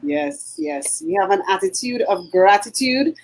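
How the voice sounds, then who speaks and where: A woman's voice: medium pitch, expressive, measured pace, rough recording. A middle-aged woman with a Trinidadian accent, co-hosting a casual talk show and chatting with lots of fillers. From Trinidad and Tobago. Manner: chatty, many fillers and throat clearing. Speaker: co-host. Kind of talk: casual chat.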